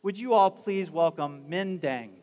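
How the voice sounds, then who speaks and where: A man's voice: sits high, lively intonation, measured pace, a little mellow. A man introducing a guest and asking her questions at a campus assembly, in a polite, formal way. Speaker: campus leader hosting the assembly.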